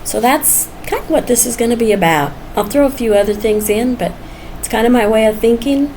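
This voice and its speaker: A woman's voice: on the high side, fairly quick, dark tone. A woman of almost seventy talking casually and candidly in a personal vlog, musing as she goes. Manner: candid, musing, chuckles often. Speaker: vlogger. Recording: noisy background ambience.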